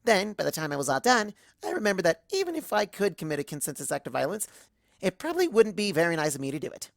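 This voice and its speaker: Woman acting bubbly cartoon party pony, earnest, childlike rambling, lively swooping pitch, close dry sound. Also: fast, gravelly, dry recording. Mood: chirpy, rambling. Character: bubbly, scatterbrained, excitable young female cartoon party pony. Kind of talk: first-person fan fiction narration in character.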